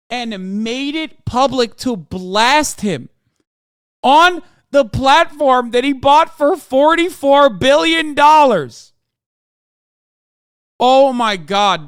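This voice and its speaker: A young man gives casual reaction commentary as a streamer. His voice is smooth and closely recorded with no room sound, and his talk is slangy, animated and sweary. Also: very high voice, no room sound, studio quality.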